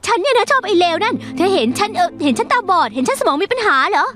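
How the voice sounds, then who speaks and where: A woman's voice: very high-pitched, expressive, brisk. An actress voicing a blunt, fiercely loyal young woman in a dubbed drama, talking in a heated, scolding way. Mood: exasperated.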